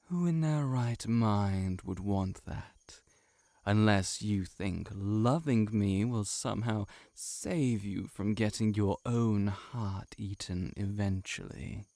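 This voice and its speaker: A man voicing a cynical, seductive heart-eating shapeshifter monster in an intimate audio roleplay, sardonic and measured, sometimes near a whisper. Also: lowish voice, a little mellow, no room sound, studio quality.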